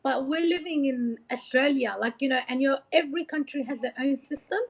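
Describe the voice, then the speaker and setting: High-pitched, creaky-voiced woman. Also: a little fast. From Iraq. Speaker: motivational coach being interviewed. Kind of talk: informal motivational interview talk. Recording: dull, lo-fi audio.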